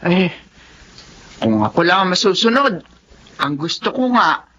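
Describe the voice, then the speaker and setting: A woman's voice: fairly low pitch, very animated, unhurried, fairly bright tone, exaggerated voice. A woman playing a stern, disapproving mother of a grown son in a Filipino radio drama. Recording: dull lo-fi sound with faint noise.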